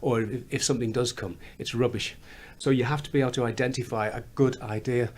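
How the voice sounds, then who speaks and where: A songwriter with a slight Liverpool accent and a fairly high voice, chatting in a studio interview and laughing often as he explains how he writes songs. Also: steady tone, brisk, a little mellow. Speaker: songwriter and musician. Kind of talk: radio interview chat. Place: recording studio.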